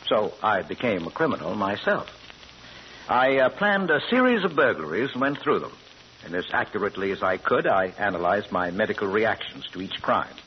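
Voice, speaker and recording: A man's voice: lowish voice, very animated, brisk. A man acting as a scholarly doctor turned amateur burglar in a vintage radio drama, speaking precisely and hesitantly with polite pomposity. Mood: calm, candid. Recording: muffled old radio broadcast, faint noise.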